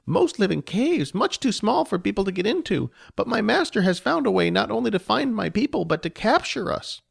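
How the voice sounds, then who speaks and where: A man's voice: high voice, big pitch swings, fairly quick, creaky, breathy edge, pitched above the performer's own voice, heavily acted. A man voicing a timid, fearful little female goblin servant who pleads in formal, old-fashioned speech. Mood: earnest. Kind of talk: audiobook character voice.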